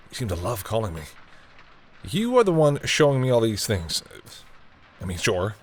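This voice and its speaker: A man playing a flustered, tender and grudgingly fond vampire boyfriend in an intimate roleplay audio, hesitant and teasing, with a husky voice. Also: lowish voice, slight background noise. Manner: hesitant, easily embarrassed, teasing.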